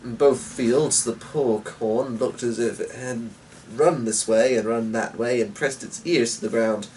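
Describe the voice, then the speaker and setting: A man giving a measured, theatrical dramatic reading of a short story as a storyteller. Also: mid-range voice, darkish tone, far from the mic, slight background noise, rough recording.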